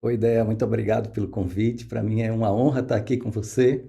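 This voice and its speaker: Man, Pernambuco accent, gravelly mellow voice, conversational expert guest, halting phrasing. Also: fairly low pitch, steady tone. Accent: northeastern Brazilian, Pernambuco. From Pernambuco, northeastern Brazil. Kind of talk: conversational podcast explanation.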